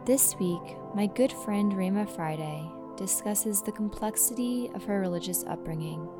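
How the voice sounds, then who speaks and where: A young adult woman hosting a podcast, talking in a chatty way with fillers and laughter. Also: fairly low pitch. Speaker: podcast host. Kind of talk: conversational podcast interview.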